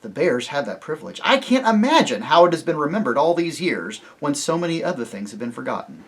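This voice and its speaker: A storybook narrator playing a young king, grave, courteous and decisive, speaking in a measured way. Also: medium pitch, big pitch swings, breathy edge, voice pushed up. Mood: kindly firm.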